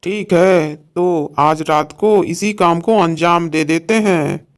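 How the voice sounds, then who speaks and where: A man's voice: a little high, slow, clear, resonant tone. A man voicing an envious, worried village shopkeeper in a cartoon story, complaining and urging others on. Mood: worried, scheming.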